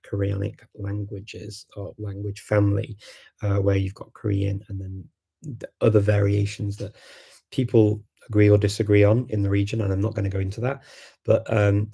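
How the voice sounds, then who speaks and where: Low gravelly man chatting casually. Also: mellow. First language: Macedonian. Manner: chatty, thinks aloud with many ums and restarts. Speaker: livestream host answering viewer questions. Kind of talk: casual live chat.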